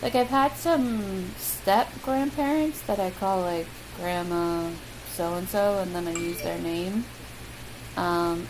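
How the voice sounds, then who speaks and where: A woman's voice: on the low side, vocal fry. A young woman with a Midwestern American accent, chatting casually through a word list with lots of ums and self-deprecating asides. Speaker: vlogger. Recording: loud steady hiss.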